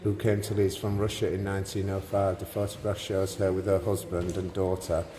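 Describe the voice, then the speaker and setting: A man's voice: deep voice, flat delivery, a little fast, a crowd in the background. Chatty man in an echoey museum. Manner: chatty, reads exhibit labels aloud. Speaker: vlog host. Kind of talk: casual museum walkthrough. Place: large indoor museum. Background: museum ambience.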